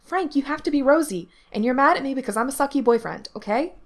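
A woman's voice: high-pitched, lively intonation, pitched above the performer's own voice. A woman voicing a self-centred, dramatic young boy in a story, demanding and emphatic. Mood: earnest, bossy. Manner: demanding, rapid questioning, emphatic.

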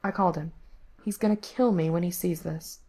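A woman voicing a caring, guilt-ridden young male rebel with a gentle, earnest manner in a story. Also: a little high, moderate pace, voice pushed up, lightly acted. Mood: quiet, guilty. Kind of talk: acted audiobook dialogue.

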